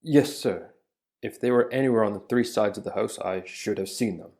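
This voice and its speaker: A man's voice: fairly low pitch, moderate pace, darkish tone, natural voice. A man playing a plain-spoken 1890s witness under cross-examination, giving short, polite answers. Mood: steady. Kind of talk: dramatic reading of a trial transcript.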